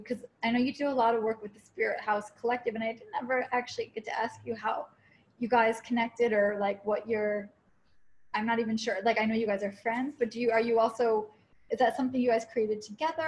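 A woman's voice: a little high, fairly even intonation, fairly quick, low-quality recording. A woman interviewer asking rambling, friendly questions that trail off and restart. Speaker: interviewer and retreat host. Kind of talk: casual chat.

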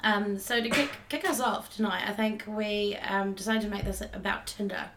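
A woman's voice: medium pitch, steady tone, moderate pace, crowd noise. A woman, co-hosting a casual podcast chat and laughing often. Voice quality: raspy. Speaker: podcast co-host. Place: home at night.

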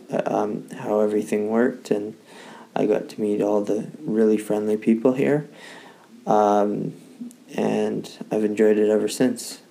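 Slow, gravelly, hesitant man. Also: on the low side, mellow, low-quality recording. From Victoria, Canada. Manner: hesitant, halting, many fillers and restarts. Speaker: social media creator for a youth advocacy office. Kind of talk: personal self-introduction.

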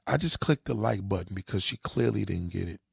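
A man's voice: low-pitched, lively intonation, a little fast, creaky, husky, no room sound, clean studio sound. A man giving blunt dating advice in a reaction commentary, lecturing and often checking whether the listener understands. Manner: lecturing, repeats "you understand?"; blunt, swears.

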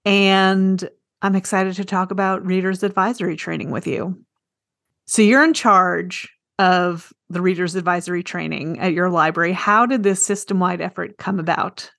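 A woman's voice: lowish voice, fairly even intonation, moderate pace, vocal fry, fairly bright tone, no echo, studio quality. A woman hosting a podcast, interviewing her guest in a conversational way.

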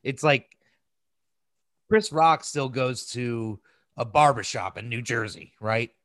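A man's voice: medium pitch, takes it slowly, fairly bright tone, dry recording. Casual, rambling man with a close, dry sound. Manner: profane, rambling storytelling. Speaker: podcast host. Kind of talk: casual conversational podcast chat.

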